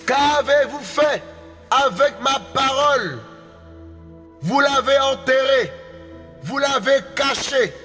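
A man's voice: extremely high pitch, animated, takes it slowly, long pauses, sharp tone. A man delivering a prophetic utterance, with intense, repetitive declaiming and speaking in tongues. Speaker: prophetic preacher. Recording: long hall reverb, faint music behind.

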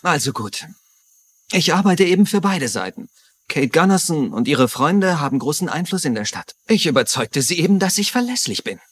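A scheming, ambitious male politician in a TV crime drama. His voice is a little high and rough with huskiness. He argues persuasively and justifies himself. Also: very animated, normal speed. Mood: self-justifying. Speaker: actor in a German dub. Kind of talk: dubbed TV mystery dialogue.

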